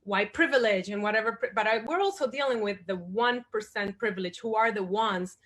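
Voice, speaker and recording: A woman's voice: mid-range voice, a little fast, fairly bright tone. A woman in her forties from the Dominican Republic, a guest at a panel discussion, speaking thoughtfully with many fillers and restarts.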